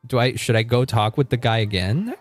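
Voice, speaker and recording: A man's voice: medium pitch, a little fast, soft background music. A man livestreaming a game, chatting casually with viewers in a rambling, informal way with some mild swearing.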